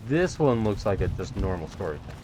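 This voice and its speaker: Casting: male let's player, lowish voice, casual and low-key, thinking out loud over audible game noise. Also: big pitch swings, brisk. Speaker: gamer doing a let's play. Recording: game sounds and noise behind.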